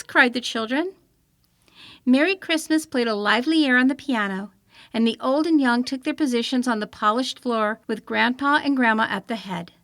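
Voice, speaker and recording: A woman reading an old-fashioned children's story aloud in an expressive storytelling manner. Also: on the high side, dry recording, clean studio sound. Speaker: storybook reader.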